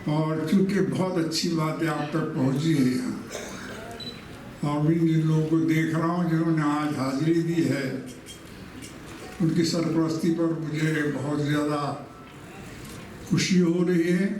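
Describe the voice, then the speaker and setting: A hoarse man in his eighties speaks slowly and thoughtfully from a stage, his voice sitting high for his age. The sound bounces around a large, very echoey hall, and he stands well back from the mic. A faint room hum runs underneath. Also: steady tone, a little mellow, distant mic. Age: 80s. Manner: slow, measured, reflective. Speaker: trust founder giving a speech. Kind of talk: formal stage speech.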